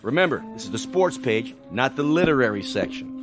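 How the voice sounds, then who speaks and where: An actor playing an easygoing newspaper colleague in a radio drama, breezy and teasing. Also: male voice, medium pitch, big pitch swings, a little fast, over music, close to an everyday voice. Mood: breezy, curious.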